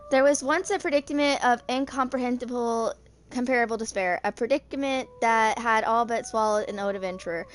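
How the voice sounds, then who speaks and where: A woman's voice: a little high, fairly quick, a little hiss or hum. A woman in her twenties reading story text aloud in an amateur way, with small stumbles. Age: 20s. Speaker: video creator reading game character lore.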